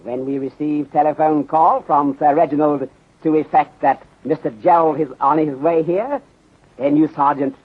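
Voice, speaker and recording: A courteous, methodical Chinese detective played by a man from an old radio serial. He speaks in formal, deliberate, clipped phrases with a slightly raised, husky voice, through a muffled 1930s recording. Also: fairly high pitch, steady tone, lightly acted. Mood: calm, insistent.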